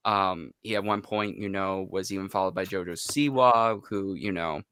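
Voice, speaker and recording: A man's voice: low voice, moderate pace, fairly bright tone, clean tone, no room sound, studio quality. An American man speaking as a podcast guest, telling anecdotes in a casual, conversational way. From United States. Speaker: podcast guest, online video creator.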